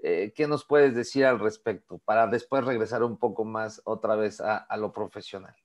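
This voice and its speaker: A man interviewing a guest remotely, asking his questions slowly and deliberately. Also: fairly low pitch.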